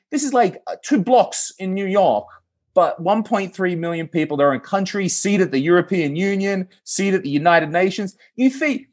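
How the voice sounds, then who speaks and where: Animated Australian man, joking guest. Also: sits high. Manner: animated, jokey, laughs often. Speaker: podcast guest, comedy producer. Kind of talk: casual interview chat.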